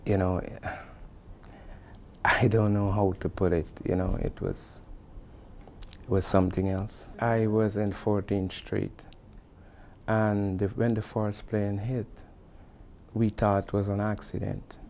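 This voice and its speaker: A man's voice: on the low side, little pitch movement, takes it slowly, vocal fry, pauses often, darkish tone, a little hiss or hum. A middle-aged man with a Guyanese accent recalling personal experience in an earnest, emphatic way, repeating phrases to drive them home. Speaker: construction supervisor giving testimony. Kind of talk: personal oral-history recollection.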